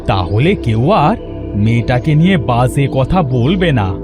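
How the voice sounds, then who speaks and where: A man's voice: medium pitch, very animated, unhurried, over music. A man voicing a poor, worried middle-aged village father in a cartoon, pleading and plaintive. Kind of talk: Bengali cartoon voice acting.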